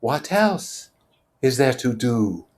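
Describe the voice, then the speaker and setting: A man voicing a shy, introspective schoolboy with a hesitant, halting delivery in a storybook read-aloud. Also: mid-range voice, big pitch swings, voice pushed up. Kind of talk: character voice in novel read-aloud.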